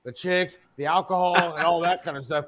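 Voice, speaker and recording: A man's voice: a little high, steady tone, brisk, highs cut off, background noise. A man co-hosting a talk show in casual, bantering conversation.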